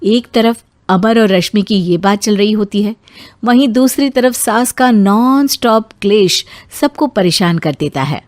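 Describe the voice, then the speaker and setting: A woman narrating a scripted animated family story. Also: medium pitch, unhurried, no echo.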